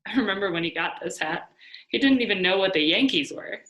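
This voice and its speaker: A woman's voice: medium pitch, expressive, a little fast, a bit bright, echoey room, close to an everyday voice, rough recording. A woman reading a storybook as a blunt, dismissive middle-aged male motel boss. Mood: reminiscing, matter-of-fact. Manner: blunt, no-nonsense.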